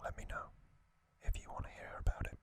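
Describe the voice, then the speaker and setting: A man whispering softly in a breathy, deliberate ASMR narration, speaking in short phrases with pauses. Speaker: host of an ASMR channel. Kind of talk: whispered ASMR trivia narration and reading aloud.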